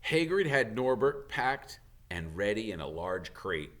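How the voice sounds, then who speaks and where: A man reading a children's novel aloud as a teacher would, in expressive storybook narration. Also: lowish voice, a little slow.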